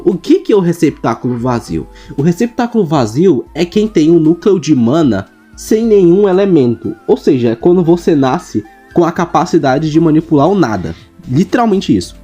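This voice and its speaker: Young brazilian creator chatting casually, soft dark tone, bit raspy, music behind. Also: mid-range voice. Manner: informal, slangy, animated storytelling. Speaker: video creator answering viewer questions. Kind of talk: casual chatty explanation of story lore.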